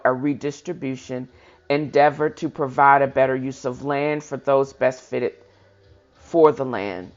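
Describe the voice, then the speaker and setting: A person reading a historical speech aloud with informal commentary, stumbling now and then and correcting themselves, in a dull, lo-fi recording. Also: medium pitch. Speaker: host of a reading show. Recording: dull lo-fi sound.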